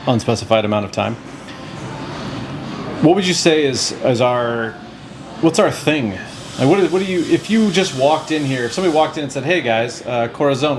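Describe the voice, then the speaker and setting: Man, podcast host, jokey, playful, casual chat, background hum. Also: mid-range voice. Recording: steady background noise.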